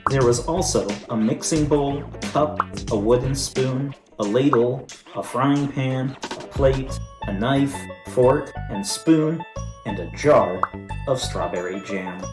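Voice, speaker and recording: A man, a teacher, reading a children's picture book aloud with slow, deliberate storytelling. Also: mid-range voice. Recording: roomy room with background music.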